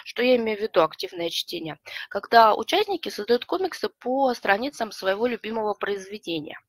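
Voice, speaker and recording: A middle-aged woman presenting an explanatory online lecture, hoarse from a cold and pausing often to choose her words. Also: medium pitch, animated, no echo. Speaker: webinar presenter, librarian and teacher. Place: small room with bookshelves, indoors.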